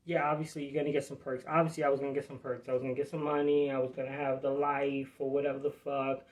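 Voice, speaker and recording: A man's voice: mid-range voice, little pitch movement, fast, rough recording. A man from New Jersey hosting a live podcast, talking in a rambling, profane, streetwise way. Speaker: podcast host. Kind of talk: casual live podcast chat.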